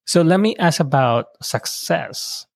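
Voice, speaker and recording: A man from the Philippines hosting a podcast interview, supportive and quick with short affirmations. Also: a little high, lively intonation, vocal fry, no room sound. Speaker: podcast host. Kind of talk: casual interview. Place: home in the United States.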